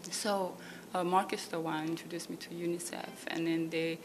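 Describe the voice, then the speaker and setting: Low, flat-voiced young woman, hesitant. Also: noisy background. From Ethiopia, raised in the Netherlands. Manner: halting, lots of fillers and "you know what I mean". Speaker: model, guest. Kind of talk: casual interview. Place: apartment at home.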